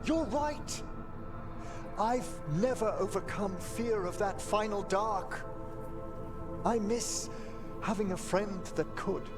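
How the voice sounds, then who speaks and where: A man's voice: sits high, slow pace, pauses often, over music. A man voicing a refined, anxious older necromancer in a game, speaking formally and earnestly. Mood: pleading, regretful.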